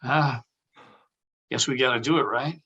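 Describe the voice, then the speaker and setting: A male actor plays an aging, anxious schemer in a comedy script. He sounds dry and sardonic, with a hesitant, everyday delivery and a mid-pitched voice. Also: measured pace, bright, forceful tone, breathy edge, lo-fi sound, full character voice. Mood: dry, resigned. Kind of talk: table read of a comedy script.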